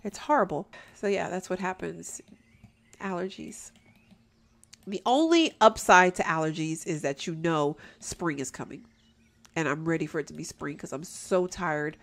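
Young woman, gameplay commentator, nasal stuffy-nose tone, easygoing and chatty, home desk mic. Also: lowish voice. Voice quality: nasal from allergies. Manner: chatty, addresses viewers as y'all, laughs often. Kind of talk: casual let's play commentary.

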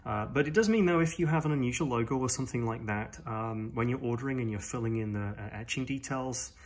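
A man's voice: mid-range voice, fast. A man giving a casual, rambling product review at home, with frequent ums and uhs, filmed on a phone. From New Zealand. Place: home gaming room, indoors. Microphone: phone camera, off screen.

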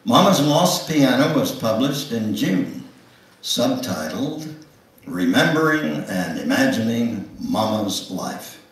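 A ninety-year-old man giving a dramatic, pause-filled memoir reading as a guest speaker. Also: medium pitch, sing-song. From Knoxville, Tennessee, United States. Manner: slow, theatrical delivery with long pauses. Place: church sanctuary, indoors. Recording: echoey hall, distant microphone.

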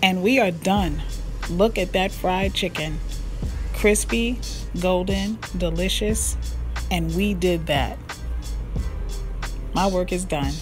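A woman's voice: on the low side, slow, pauses often. A woman hosting her cooking channel, talking viewers through a recipe in a chatty, upbeat voice-over. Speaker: cooking blogger and channel host. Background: music.

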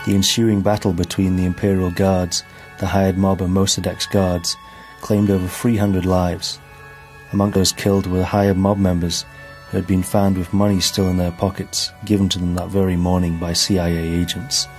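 A man narrating a scripted documentary in a measured, formal manner. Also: low voice, flat delivery, darkish tone. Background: background music.

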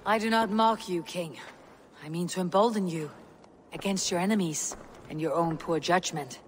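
A woman voicing a proud, defiant young female Viking warrior in a video game, speaking gravely in formal, deliberate phrases. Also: on the low side, slight background noise, lightly acted. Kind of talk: dramatic video game cutscene acting.

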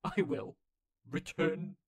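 A woman voicing a battered delivery robot that speaks in halting, repetitive phrases with a creaky voice. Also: sits low, background noise. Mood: halting, wounded.

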